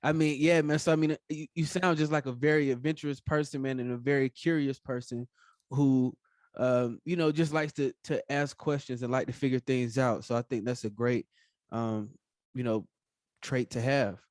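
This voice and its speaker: A man's voice: mid-range voice, steady tone, moderate pace, darkish tone, no room sound, studio quality. A man hosting a podcast interview, talking casually with many fillers and encouraging remarks. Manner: casual, filler-heavy, affirming. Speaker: podcast host.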